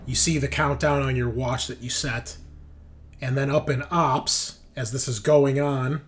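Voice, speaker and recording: A man improvising narration as game master of a tabletop game, haltingly, thinking aloud. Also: medium pitch, fairly even intonation, a little hiss or hum.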